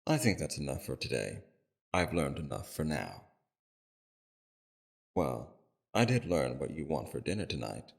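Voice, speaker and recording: A man playing a calm, courteous villain leader in an audio roleplay, speaking in a civil, measured, softly probing way with a very deep, gravelly voice. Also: heavily acted. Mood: gentle, amused.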